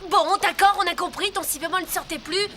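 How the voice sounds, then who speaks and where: Grumpy, very high-pitched young cartoon animal. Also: female voice, brisk, background noise. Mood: impatient. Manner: grumbling, impatient, blunt. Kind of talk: French cartoon dub acting. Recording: music behind the voice.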